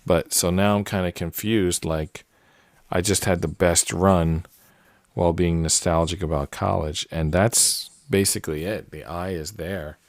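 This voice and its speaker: Late-forties male host, deep voice, relaxed filler-heavy musing, dry recording. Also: no echo. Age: 40s. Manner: rambling, relaxed, many fillers and tangents. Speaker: puzzle channel host. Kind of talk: casual solo commentary while solving a puzzle.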